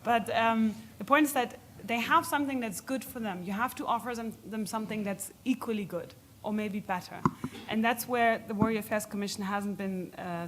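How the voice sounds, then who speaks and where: A woman giving halting, explanatory answers as an expert speaker in an unscripted question session at a policy event. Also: a little high, background noise.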